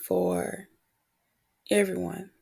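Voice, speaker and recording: A woman podcast host talking reflectively and candidly on her own, her voice husky and prone to cracking as she gets over a cold. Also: on the low side, flat delivery, dark tone. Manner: candid, unhurried, pausing between short phrases. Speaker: podcast host and motivational coach. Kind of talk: reflective solo podcast talk.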